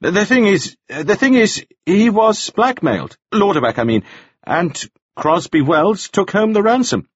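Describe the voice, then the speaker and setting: A man's voice: high-pitched, very animated, a little slow, husky, no room sound, pitched above the performer's own voice, full character voice. A man putting on a nervous, stammering dandy. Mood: anxious. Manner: stammering, anxious, pleading. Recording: dull lo-fi recording.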